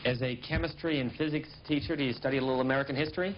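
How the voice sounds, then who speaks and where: A man hosting a television interview and house tour, asking brisk, direct questions. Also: mid-range voice, fairly even intonation. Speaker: television host. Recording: muffled broadcast audio with faint hiss.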